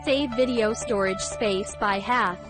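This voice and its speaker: A woman's voice: mid-range voice, extremely slow. A woman reading a scripted promotional voice-over with short announcements. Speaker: promotional video narrator. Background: loud music.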